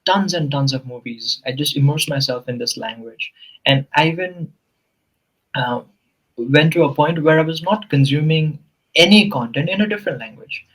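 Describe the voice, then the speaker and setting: A man's voice: on the high side, moderate pace, fairly bright tone, low-quality recording. Earnest male English tutor, Nepali native. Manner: earnest, explanatory, with frequent "you know" and "right?". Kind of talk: casual conversational interview.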